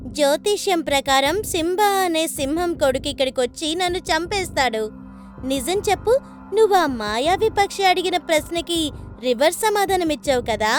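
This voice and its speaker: A menacing fox who is secretly a witch. The voice is extremely high and threatening, a children's cartoon villain. Faint music sits behind it. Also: expressive, moderate pace. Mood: menacing, taunting. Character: menacing magical female fox, a witch in disguise.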